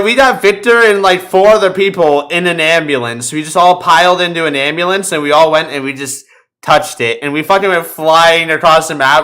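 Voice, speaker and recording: Bright-voiced, higher-pitched man swearing casually. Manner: profane, animated storytelling. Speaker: podcast host. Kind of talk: casual chat about video games.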